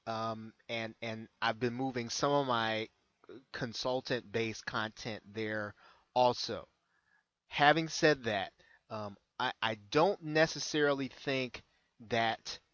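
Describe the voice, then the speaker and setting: An American man talks through an unscripted on-screen tutorial in a mid-pitched voice. He is hesitant, circling back and repeating phrases as he explains. The sound is dry and close, with a dull, lo-fi edge. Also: bright, forceful tone, no echo. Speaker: online marketing consultant giving a tutorial.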